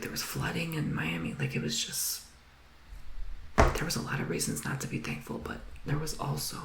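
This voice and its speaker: Whispering male tarot reader, gravelly, roomy echo. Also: on the high side. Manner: soft whisper, earnest encouragement; rambling, emotional.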